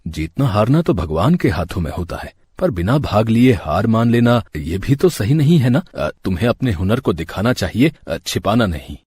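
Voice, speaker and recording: A man voicing a sickly, guilt-ridden middle-aged husband and father in an animated moral story, gentle and halting. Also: on the low side, slightly rough, voice pushed down, heavily acted. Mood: gentle encouraging.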